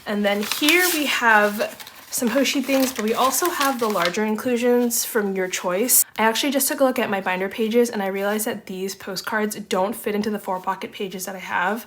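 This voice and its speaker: Young adult woman vlogger, slightly congested, relaxed rambling chat over faint noise. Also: medium pitch. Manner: chatty, rambling, often says um and like. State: slightly congested, recovering from laryngitis. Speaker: photocard collecting vlogger. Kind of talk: casual chat while sorting.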